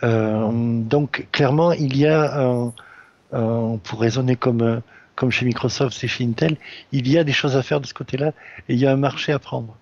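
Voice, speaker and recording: A man on a tech panel answering haltingly with many fillers and restarts. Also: mid-range voice, lo-fi sound. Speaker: panel guest. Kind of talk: casual panel discussion.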